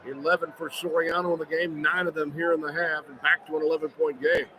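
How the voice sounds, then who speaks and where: A man's voice: fairly high pitch, flat delivery, fast talker, a crowd in the background. A man doing live radio play-by-play of a basketball game as the announcer. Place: courtside in a large indoor arena. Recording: noisy live arena broadcast.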